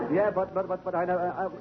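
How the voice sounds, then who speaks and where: An actor playing a bossy, blustering policeman who stammers when he is bested, in 1940s radio comedy style. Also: sits high. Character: blustering bossy male beat cop. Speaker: police officer. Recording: tinny hissy 1940 radio broadcast.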